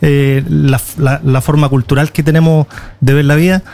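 A man with a Chilean accent and a slightly high-pitched voice talks as an interview guest. He explains things conversationally, doubling back on words as he forms his answer. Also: level tone, normal speed, vocal fry, darkish tone. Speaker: interview guest, tour guide and video creator.

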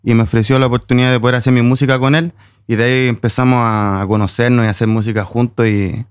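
A man's voice: mid-range voice, level tone, quick pace, smooth, no echo, studio quality. A man with a Chilean accent, a musician talking casually as an interview guest. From Viña del Mar, Chile.

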